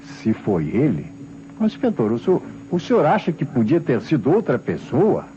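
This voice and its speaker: An actor playing an earnest, literal-minded police detective, a subordinate officer in an old radio crime drama. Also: male voice, mid-range voice, huge pitch swings, normal speed, warm tone, soft background music. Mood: puzzled, insistent. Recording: muffled, noisy vintage radio recording.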